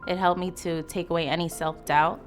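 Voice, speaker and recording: A woman's voice: lowish voice, level tone, fairly quick. A woman with a New York accent, a student in an interview testimonial, confident and upbeat. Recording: soft music underneath. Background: background music.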